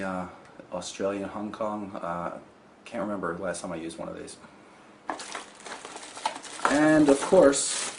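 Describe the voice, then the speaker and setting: Low-voiced man reviewing a gadget. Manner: matter-of-fact, explanatory. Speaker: tech reviewer presenting a gadget unboxing. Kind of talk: casual product demonstration. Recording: clear background noise.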